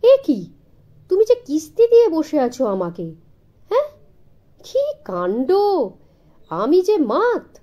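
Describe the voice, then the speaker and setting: A woman's voice: high-pitched, huge pitch swings, takes it slowly, pauses often, warm tone, voice pushed up, full character voice. A woman voicing a grand, boastful rich man in a children's story, grave and pompous in manner. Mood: astonished.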